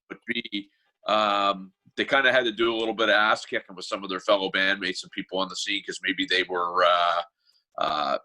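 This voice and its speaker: A man co-hosting a podcast, halting and filler-heavy as he builds a long question. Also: fairly low pitch, pushed and bright, low-quality recording. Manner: hesitant, many fillers while framing questions. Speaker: podcast co-host. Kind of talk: casual interview chat.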